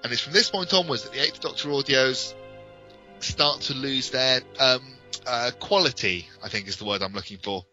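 A man's voice: medium pitch, moderate pace, bright, forceful tone. A British man co-hosting a podcast, talking casually and frankly as an opinionated fan. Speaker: podcast co-host. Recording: dull lo-fi audio with music.

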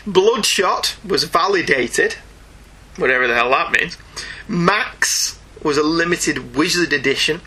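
A man's voice: fairly high pitch, measured pace, sharp tone, husky. A middle-aged British man chatting casually as a podcast host, with a wry, jokey, mock-exasperated way of talking. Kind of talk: casual comic book podcast chat.

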